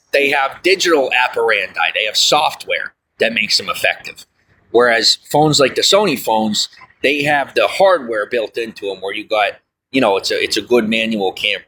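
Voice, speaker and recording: A man's voice: lowish voice, bright, forceful tone, slightly rough, rough recording. A man livestreaming, chatting casually with viewers and reading their comments, rambling with lots of fillers and folksy asides. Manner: folksy, often says "I'll tell you what".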